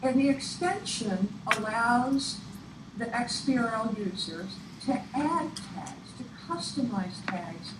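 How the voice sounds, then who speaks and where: A woman presenter giving an instructional presentation in a deliberate, explanatory way. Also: medium pitch. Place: echoey room, picked up from afar. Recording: echoey, distant room recording with clear noise. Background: clear room noise.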